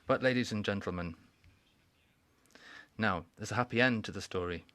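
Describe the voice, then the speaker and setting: A man giving a formal welcoming speech at a conference, measured and deliberate, with pauses and restarts. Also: lowish voice, a bit bright, no room sound. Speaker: conference speaker. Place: conference hall.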